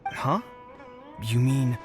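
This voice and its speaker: A man voicing an amiable, expressive young man in an anime-style game, theatrical and eager. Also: mid-range voice, very slow, over music. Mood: puzzled.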